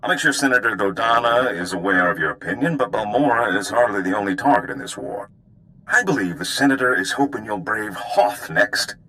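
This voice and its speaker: An actor voicing a brisk, chipper aide in a video game, briefing a captain in a polite, businesslike way. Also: male voice, on the low side, bright, forceful tone, rough recording.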